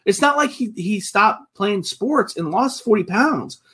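A man hosting a sports podcast, talking fast and loose, profane and repeating himself as he gets worked up. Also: high voice, lively intonation, sharp tone, breathy edge. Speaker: podcast host. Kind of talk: casual sports chat.

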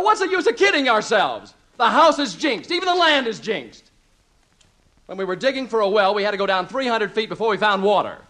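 An actor playing an excitable, sentimental husband and father in a 1950s radio comedy, stammering and emphatic. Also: high voice. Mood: exasperated. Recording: vintage 1950s radio recording.

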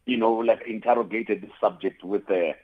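Low-voiced South African man on a phone-like line. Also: steady tone, breathy edge. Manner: halting, repeats "you know" very often. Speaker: guest, theatre playwright and producer. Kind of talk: conversational interview answers.

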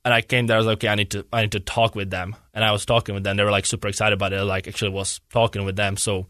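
Young man, low dry voice. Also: flat delivery, rapid-fire, fairly bright tone, no echo. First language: Czech. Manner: searches for words in a second language, rambling and earnest. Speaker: guest, hockey player. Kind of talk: casual interview.

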